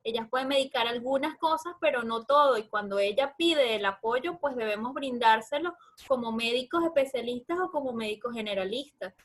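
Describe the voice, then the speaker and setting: A woman's voice: a little high, lively intonation, fairly quick. A woman with a Venezuelan Andean accent answering questions as a doctor in an informal webinar, explaining in colloquial terms and addressing listeners directly. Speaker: guest lecturer, obstetrics doctor.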